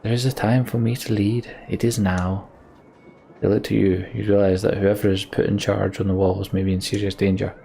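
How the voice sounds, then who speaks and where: Low, creaky-voiced male gamer. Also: level tone, moderate pace, warm tone, a little hiss or hum. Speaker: let's play host. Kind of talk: game commentary and reading dialogue aloud.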